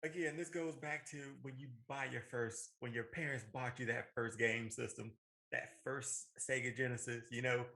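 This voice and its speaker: A man's voice: medium pitch, steady tone, normal speed, sharp tone. A man co-hosting a casual, opinionated podcast, telling stories in a colloquial, emphatic way. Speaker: podcast co-host. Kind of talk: casual opinionated chat about films.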